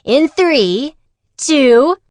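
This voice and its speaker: A woman voicing an eager, daring, stubborn girl adventurer in a storybook, excited and emphatic. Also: high-pitched, drawn-out words, no echo, clean studio sound, pitched above the performer's own voice, exaggerated voice. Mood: excited, confident.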